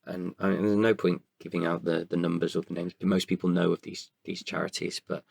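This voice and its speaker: A man with a very low voice full of gravelly creak. He speaks as an interview guest, candid and hesitant, feeling his way through each answer and doubling back on words. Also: little pitch movement, darkish tone. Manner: hesitant, halting delivery with repeated words. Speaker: podcast guest, writer and performer.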